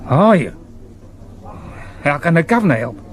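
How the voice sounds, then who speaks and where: A man's voice: a little high, big pitch swings, a little slow, slight background noise. A British man playing a loyal, wry and wary theatre dresser in a 1970s radio drama, chatty and informal. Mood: cautious, guarded.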